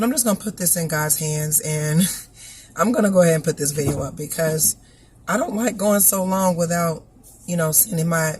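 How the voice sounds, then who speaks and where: A woman, hoarse and scratchy after an illness, giving a casual motivational talk that explains ideas step by step and keeps checking in with listeners. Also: deep voice. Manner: teaching, repeats points and checks in with the listener. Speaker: vlogger giving inspirational talks.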